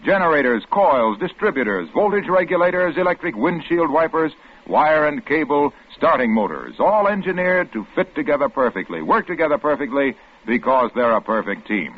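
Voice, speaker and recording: A man's voice: on the high side, very animated, moderate pace. A man reading a scripted 1940s radio advertisement with emphatic salesmanship. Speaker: radio commercial pitchman. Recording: tinny, narrow old radio broadcast.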